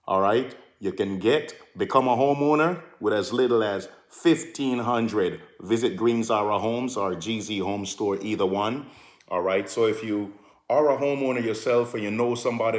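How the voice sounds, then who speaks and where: Man, Jamaican accent, middle-aged, deep-ish voice, relaxed promo chat, echoey room. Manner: relaxed, repetitive "all right" fillers, sips a drink while talking. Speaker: home improvement company promoter. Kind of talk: casual promotional talk to camera.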